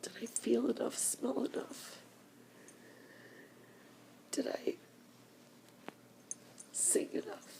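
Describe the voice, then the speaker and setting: An older woman whispers through happy tears, unhurried and gravelly. Her voice sits a little high for a woman and often drops to a breathy hush. She speaks to the camera in a personal vlog. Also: animated, rough recording. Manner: whispering, slow and emotional. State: crying. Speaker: vlogger.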